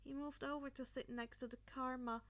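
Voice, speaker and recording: A woman's voice: high-pitched, fairly even intonation, brisk, fairly bright tone. A woman reading a story as its narrator, in scripted narration. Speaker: narrator, podfic reader.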